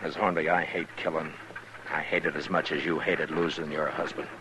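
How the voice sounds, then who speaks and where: An actor playing a weary, principled frontier marshal, terse and dry, in a vintage Western radio drama. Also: male voice, very deep voice, level tone, fairly quick, pushed and bright, slight background noise, rough recording. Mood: grave, firm. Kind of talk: 1950s radio drama acting, some narration.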